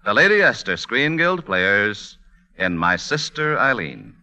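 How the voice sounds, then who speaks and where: A man's voice: lowish voice, very animated, unhurried. A man announcing a 1940s radio show in a formal, showy presenting style. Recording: vintage radio broadcast with music. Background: music.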